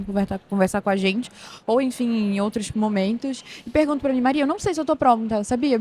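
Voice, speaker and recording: A woman's voice: on the high side, a little mellow, a little hiss or hum. A woman in her twenties from northern Brazil with a Pará accent, a guest explaining things animatedly in a podcast interview, full of restarts and "né". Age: 20s. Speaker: guest, operations manager. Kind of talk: podcast interview at a tech event.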